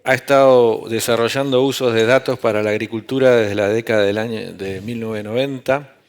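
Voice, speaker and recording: Mid-pitched man introducing a conference speaker. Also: steady tone, a little fast. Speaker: session host introducing a speaker.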